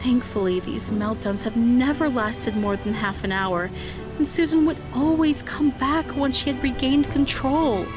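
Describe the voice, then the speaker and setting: Woman playing a high-voiced, worried mother. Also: unhurried, natural voice. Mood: sombre, explaining. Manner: earnest, anxious. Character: worried mother of a teenage girl. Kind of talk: audio drama acting. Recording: music behind the voice.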